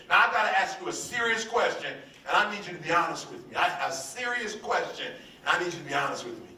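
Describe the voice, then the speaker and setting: A man's voice: a little high, bright, forceful tone, distant mic, slight background noise. An American man giving a live motivational speech to a room, emphatic and repeating his phrases call-and-response style. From United States. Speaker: motivational speaker. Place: large room with audience. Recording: roomy hall, voice from off-mic.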